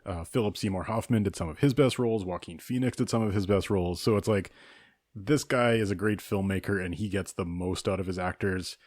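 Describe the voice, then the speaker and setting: A man co-hosting a casual film review podcast, speaking in a measured, knowledgeable and opinionated way. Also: low-pitched, a little mellow, no room sound, studio quality. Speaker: podcast co-host.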